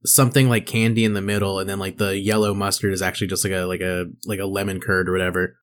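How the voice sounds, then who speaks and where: A man's voice: low-pitched, level tone, fast. A man co-hosting a podcast, talking casually in a laid-back, slangy way. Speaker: podcast co-host. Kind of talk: casual banter, with some reading aloud.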